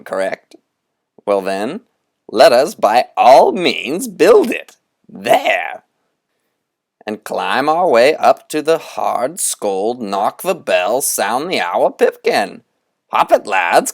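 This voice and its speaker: A man's voice: medium pitch, creaky, dry recording, pitched above the performer's own voice, heavily acted. Guy putting on a showy old trickster, big swoopy declaiming, dry close sound. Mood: commanding. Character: grand, theatrical old male trickster guide.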